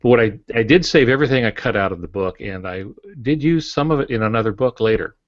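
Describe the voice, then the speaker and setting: A man co-hosting a writing podcast as a published author, telling stories in a relaxed way with many pauses. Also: lowish voice, no echo, muffled recording. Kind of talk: casual writing podcast chat.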